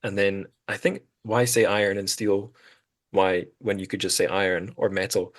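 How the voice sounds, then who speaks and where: A man's voice: low voice, flat delivery, moderate pace. A man hosting a podcast, talking casually and thoughtfully about literature in tentative phrases. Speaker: podcast host.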